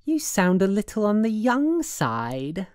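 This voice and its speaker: A woman's voice: fairly low pitch, animated, slow pace, clear, resonant tone, no echo, studio quality, voice pushed up. A woman voicing a brassy working gal in an audiobook, slangy and suspicious, with affectedly genteel airs. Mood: coy, haughty.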